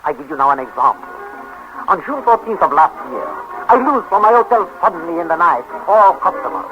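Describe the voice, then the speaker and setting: A melodramatic hotel manager. He addresses the listener in florid, halting oratory, fairly high in pitch. It is a dull 1930s radio recording with faint music. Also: sing-song. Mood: aggrieved. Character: melodramatic, long-suffering foreign hotel manager. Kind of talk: 1930s radio acting, narrating to audience.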